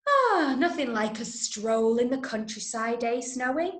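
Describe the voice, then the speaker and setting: Woman putting on an excitable high-pitched boy adventurer, echoey. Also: measured pace. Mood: breezy, cheerful. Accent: English. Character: cheerful, alert boy adventurer from a radio play. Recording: echoey room on a video call.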